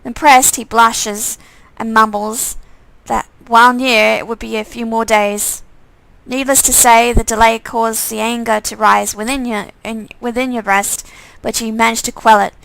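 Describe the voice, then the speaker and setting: A woman's voice: on the high side, little pitch movement, unhurried, creaky, fairly bright tone, clear, resonant tone, no echo, rough recording. A woman streaming a visual novel game, reading its text aloud casually and sometimes stumbling over phrases and repeating them.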